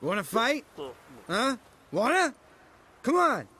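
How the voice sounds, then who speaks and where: An actor playing a brash, sarcastic, goading monster hunter in a video game. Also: male voice, extremely high pitch, sing-song, slow pace, sharp tone, full character voice. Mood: taunting. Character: brash, needling, drunken monster hunter, grown man.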